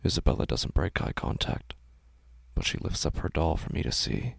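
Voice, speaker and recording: Deep, gravelly male horror narrator. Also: expressive, no echo. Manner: hushed, slow, often whispered. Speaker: narrator and host.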